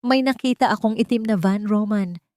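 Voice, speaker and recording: A woman's voice: mid-range voice, normal speed, dark tone, dry recording, studio quality, pitched above the performer's own voice, close to an everyday voice. A woman voicing a frightened, wounded young woman confiding her troubles in anxious, pleading audiobook dialogue.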